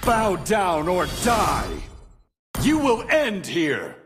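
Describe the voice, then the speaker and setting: Video game conqueror: haughty, domineering celestial warrior, theatrical and measured, with very animated pitch. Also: male voice, full character voice. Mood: fierce battle shouts. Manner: grandiose, theatrical, boastful declarations. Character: proud, domineering male Capricorn star warrior, boastful conqueror. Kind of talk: video game hero voice lines. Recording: game music and battle effects behind.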